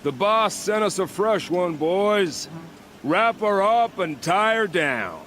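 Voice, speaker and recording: An actor playing a gruff, sly, menacing bandit guard in a video game. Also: male voice, high-pitched, sing-song, takes it slowly, fairly bright tone, heavily acted. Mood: barking orders.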